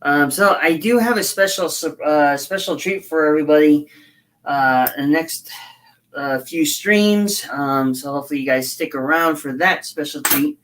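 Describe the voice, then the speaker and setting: A hoarse woman chatting casually on a livestream as she builds a scale model, rambling and halting with frequent fillers. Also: low-pitched, slight background noise. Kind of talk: casual livestream chat while working.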